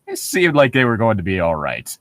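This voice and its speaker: A man's voice: fairly low pitch, huge pitch swings, brisk, a bit bright, dry recording. A man reading aloud casually as a comedy co-host, breaking off for sarcastic, jokey banter.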